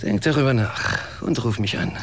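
An actor playing a proud, bitter man in a radio drama, speaking standard German at a middle pitch. His delivery is sardonic and cutting. Clear outdoor-like ambience with animal sounds sits behind him. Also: moderate pace, a little hiss or hum, lightly acted. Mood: dry, mocking. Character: bitter, proud paralysed inventor, a cynical man.

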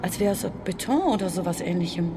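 A woman's voice: fairly low pitch, expressive, quick pace, background noise. An actress playing a flippant, pragmatic young woman in a radio drama, casual and teasing. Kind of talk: naturalistic radio drama dialogue. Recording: music under the voice.

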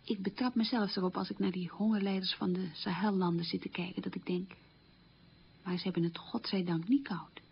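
Woman in her middle years played by an actress looking back on wartime childhood, creaky voice, thoughtful radio monologue, muffled old recording. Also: mid-range voice, fairly even intonation, slight background noise, lightly acted. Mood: wistful, emphatic. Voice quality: husky, creaky. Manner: halting, reflective, emphatic repetition. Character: reflective woman recalling childhood hunger in wartime. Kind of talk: radio play monologue, conversational reminiscence.

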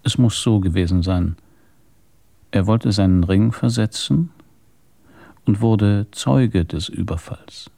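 A man playing a measured, probing police inspector in a radio drama, husky and deliberate in his speech. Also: fairly low pitch, mellow, heavily acted. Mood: matter-of-fact. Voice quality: husky, gravelly.

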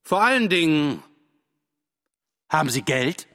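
An actor playing a stiff, patronising naval officer who speaks in formal, deliberate military address in a radio drama. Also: male voice, a little high, very animated, pushed and bright, full character voice. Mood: brusque.

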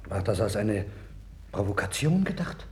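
A man's voice: lowish voice, very animated, normal speed, darkish tone. An actor playing a troubled, wavering king of ancient Judah in a formal 1960s radio play style. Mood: agitated. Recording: worn vinyl record with clear noise.

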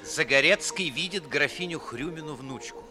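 A man's voice: fairly high pitch, normal speed, bright, forceful tone. A man narrating stage directions for a radio play in a scripted style. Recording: 1950s radio recording, music and crowd behind. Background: music and crowd chatter.

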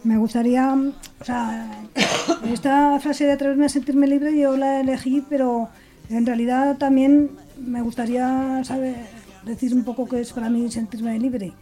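Mellow, higher-voiced Spanish woman radio host. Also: fairly even intonation, measured pace, rough recording. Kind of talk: radio introduction and group discussion. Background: music behind the voice.

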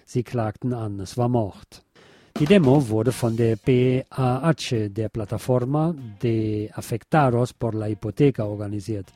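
A man presenting radio news as scripted commentary, speaking deliberately with a wry edge. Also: mid-range voice, warm tone.

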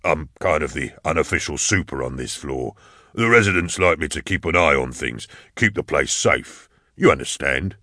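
A male narrator performs an old, overweight caretaker for an audiobook. The voice is low and gruff, that of a man in his sixties who once soldiered and still guards his floor. The sound is dry, with no room around it. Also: lively intonation, moderate pace, pushed and bright, no echo, exaggerated voice. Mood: deferential. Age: 60s. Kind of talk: audiobook character dialogue.

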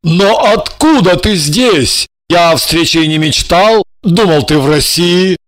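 A woman voicing an ardent, excitable male painter in love, speaking in an effusive, exclamatory way. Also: fairly low pitch, unhurried, no room sound. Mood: amazed, delighted. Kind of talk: audiobook character reading.

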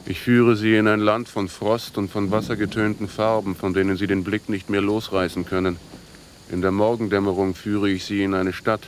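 Radio play lead: actor as haunted male outcast, deep register, incantatory and defiant. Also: flat delivery, moderate pace, a little hiss or hum, lightly acted. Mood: entranced, beckoning. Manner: incantatory, brooding, poetic delivery. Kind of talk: literary radio drama monologue.

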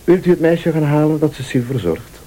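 An actor with a Flemish accent playing an insistent, accusing man in a radio play, deliberate and probing, sometimes sardonic or pleading. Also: fairly high pitch, expressive, warm tone, natural voice, low-quality recording.

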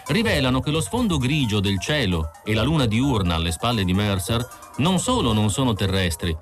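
A man's voice: mid-range voice, sing-song, normal speed, faint music behind, voice pushed up, lightly acted. A man voicing an earnest chief investigator explaining findings on television, emphatic and declarative, in an audiobook. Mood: solemn, revelatory.

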